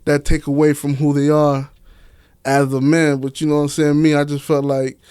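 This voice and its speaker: Smooth, higher-pitched man chatting casually. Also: flat delivery, moderate pace, a little mellow, dry recording, studio quality. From Miami, United States. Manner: colloquial, slangy, candid. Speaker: interview guest, author and stage play director. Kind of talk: casual podcast chat.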